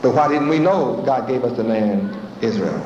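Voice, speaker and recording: A man's voice: medium pitch, moderate pace, a crowd in the background. A man preaching a sermon with rhetorical questions and a repetitive cadence. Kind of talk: sermon with acted dialogues. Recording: echoey hall, dull lo-fi sound.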